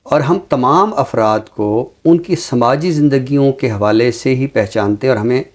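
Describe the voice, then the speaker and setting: A man with a Pakistani accent giving reflective commentary like a teacher, measured and explanatory, with deliberate pauses. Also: medium pitch. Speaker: teacher and programme guest. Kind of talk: reflective social commentary in an interview.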